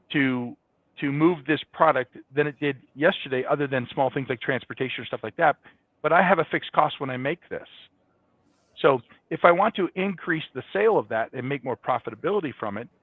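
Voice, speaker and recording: A man's voice: fairly high pitch, dry recording. A man giving conversational, explanatory answers as a guest in a business interview. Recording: dull, band-limited, dry sound.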